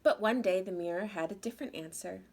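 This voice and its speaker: A woman's voice: mid-range voice, quick pace. Woman reading a children's storybook. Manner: addresses young listeners with questions. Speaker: storybook reader. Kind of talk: expressive children's read-aloud.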